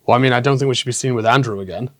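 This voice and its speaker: A man voicing an old male British punk-scene mate who passes on gossip in a hushed, conspiratorial way. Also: mid-range voice, rapid-fire, no room sound, clean studio sound, close to an everyday voice. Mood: gossipy.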